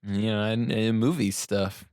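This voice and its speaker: Man, lowish voice, echo-free sound, casual podcast chat, deadpan, hesitating delivery. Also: measured pace, clear, resonant tone, clean studio sound. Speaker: podcast co-host. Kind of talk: casual unscripted discussion.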